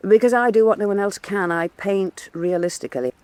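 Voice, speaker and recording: A woman's voice: medium pitch, a little fast, a little mellow, dry recording, lightly acted. An actress playing a coarse, combative woman painter in a historical radio drama, mocking and emphatic. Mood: scornful. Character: blunt, coarse, defiant Venetian woman painter. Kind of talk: full-cast historical radio drama acting.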